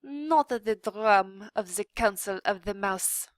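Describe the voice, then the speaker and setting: A woman's voice: mid-range voice, takes it slowly, no echo, studio quality, lightly acted. A woman doing a vain mother mouse. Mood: dismissive. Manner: sighing, dismissive.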